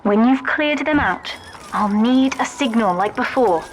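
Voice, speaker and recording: A woman's voice: a little high, expressive, unhurried. A woman playing a resolute young daughter in a game, guiding with focused urgency amid noise. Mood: focused, urgent.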